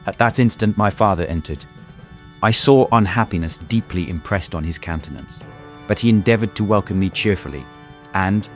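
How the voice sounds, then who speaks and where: Low-voiced, tormented gentleman narrator. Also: moderate pace, soft background music. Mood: sombre narration. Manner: earnest, formal. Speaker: narrator and lead character. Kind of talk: dramatized audiobook narration and acting.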